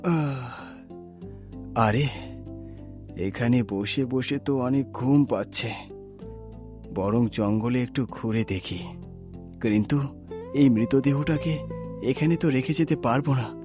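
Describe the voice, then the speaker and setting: A man's voice: mid-range voice, slow, pauses often, lightly acted. A man voicing a brave, helpful young guard in a cartoon fairy tale. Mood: musing. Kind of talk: cartoon story voice acting. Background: music.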